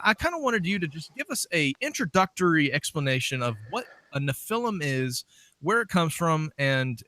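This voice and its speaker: A man hosts a show in a casual, conversational way. His voice is bright and forward, at an ordinary male pitch. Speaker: show host. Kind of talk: casual hosting with announcements.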